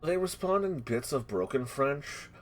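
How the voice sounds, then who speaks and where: A man narrating a tabletop roleplaying game as game master, halting and repeating words as he improvises. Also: medium pitch, expressive. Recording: music playing behind the voice. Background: music.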